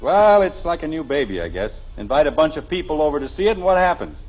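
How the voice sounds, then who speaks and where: A man's voice: on the high side, very animated, quick pace, soft background music. An American actor playing a stubborn, exasperated middle-aged family father in 1950s radio comedy style, with a blustering, sarcastic delivery. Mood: proudly stubborn. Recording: dull, noisy old radio recording.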